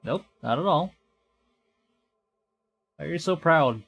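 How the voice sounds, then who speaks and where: A man's voice: a little high, lively intonation, slow, vocal fry, a bit bright, soft background music. A man streaming a game, reading aloud and chatting back to the characters in a jokey way. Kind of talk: playthrough commentary with improvised replies to the characters.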